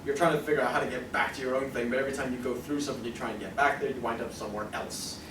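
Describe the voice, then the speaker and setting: A man of middling pitch chats on a panel in a hesitant, think-aloud way. His voice bounces around a roomy space and sits away from the microphone. He often restarts and repeats phrases while taking questions. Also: fairly even intonation, rapid-fire. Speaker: convention panelist from an audio drama group. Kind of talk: informal panel talk and audience Q&A. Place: convention panel room, indoors. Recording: roomy, distant microphone, noisy convention room.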